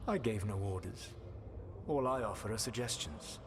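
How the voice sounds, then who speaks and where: An actor playing a grave, cryptic elven mage adviser in a video game, speaking in measured, formal phrases. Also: male voice, on the low side, close to an everyday voice. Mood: cool counsel. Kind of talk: dramatic video game voice acting. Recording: noisy ambience behind the voice.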